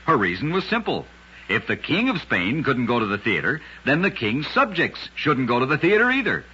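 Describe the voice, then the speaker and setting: Man, old-time radio host, very raspy, animated intonation, scripted dramatic storytelling, dull vintage recording. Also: mid-range voice, moderate pace.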